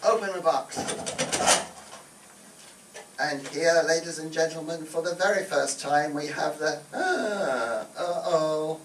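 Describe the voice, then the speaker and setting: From across an echoey, noisy room comes a high-voiced army major played by a man on stage. He is a pompous explorer who blusters and declaims in comic radio-play fashion. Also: takes it slowly, pauses often. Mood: grand, theatrical. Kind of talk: comic radio play acting before an audience. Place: live stage show in a room, picked up from afar. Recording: roomy, distant live stage recording with noise.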